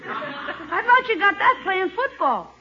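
A wide-eyed American boy with an extremely high, bouncing voice. The kid is nosy and admiring, in old radio sitcom style on a muffled recording. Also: lively intonation, slow, bright, forceful tone, some chatter behind, heavily acted. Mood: curious, awed. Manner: eager, wide-eyed, inquisitive. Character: eager, nosy young boy, admiring nephew. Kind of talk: 1950s radio situation comedy acting.

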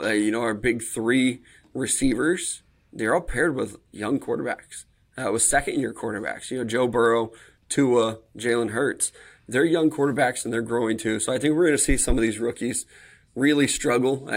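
Guy cohost w a gravelly fry, laid back, lots of uh and you know. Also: medium pitch. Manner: relaxed, hedging with fillers. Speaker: podcast co-host. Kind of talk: casual sports chat.

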